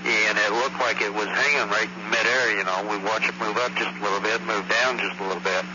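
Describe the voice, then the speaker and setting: A man's voice: lowish voice, fairly even intonation, fairly quick. A middle-aged American man giving an informal eyewitness report by telephone in a hesitant, matter-of-fact, detailed way. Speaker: caller, eyewitness reporting a sighting. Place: on a telephone at home. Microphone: telephone handset. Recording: noisy muffled archival phone line.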